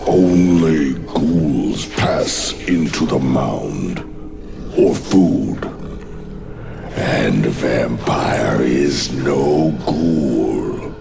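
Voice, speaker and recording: A man's voice: extremely low pitch, animated, drawn-out words, creaky, music in the background, exaggerated voice. A man playing a menacing armored ghoul in an audio drama, with a guttural growl and terse, broken phrases. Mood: menacing, scornful. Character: menacing armored ghoul, a monstrous tunnel creature.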